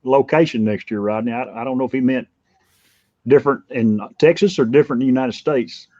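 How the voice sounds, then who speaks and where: A man's voice: mid-range voice, a little fast, a little mellow, dry recording. A man in his fifties chatting casually as a guest in a group conversation. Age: 50s. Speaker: livestream guest. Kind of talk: casual chat. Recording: dull lo-fi dry sound.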